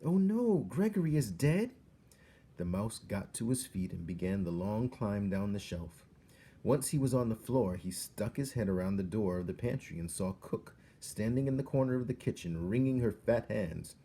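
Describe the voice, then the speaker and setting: A man's voice: fairly low pitch, normal speed, mellow, slightly rough. A man reading a storybook aloud as a dramatic narrator. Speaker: storybook reader.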